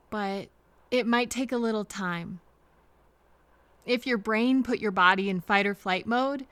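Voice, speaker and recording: A woman playing a kind, apologetic train passenger in an intimate audio roleplay, speaking softly and hesitantly to reassure a frightened stranger. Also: fairly high pitch, clear, resonant tone, dry recording. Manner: gentle, hesitant, reassuring, pausing for replies.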